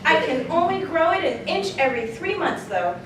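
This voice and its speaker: Shy, high-voiced little girl played by a woman. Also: very animated, far from the mic. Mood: shy, nervous. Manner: halting, stammering, timid. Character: shy, hesitant eight-year-old superhero girl. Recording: echoey room, off mic, faint noise.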